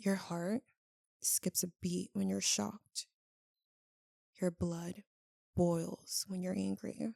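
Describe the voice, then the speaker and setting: A woman playing a tender, tormented vampire lover in an intimate ASMR roleplay, speaking haltingly and earnestly with long dramatic pauses. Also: lowish voice, flat delivery, vocal fry, warm tone, dry recording, clean studio sound, full character voice. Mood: solemn, explaining.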